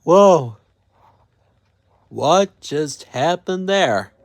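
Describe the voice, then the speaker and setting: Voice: mid-range voice, animated, very slow, no echo. A video host giving casual live reaction commentary with exclamatory interjections. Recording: loud music behind the voice.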